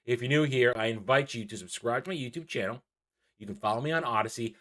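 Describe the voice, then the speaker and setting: A man's voice: mid-range voice, fairly bright tone. Exasperated man, emphatic delivery. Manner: exasperated, emphatic, repeats phrases with drawn-out stresses. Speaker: YouTube channel host. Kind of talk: opinionated commentary vlog on cartoons and comics.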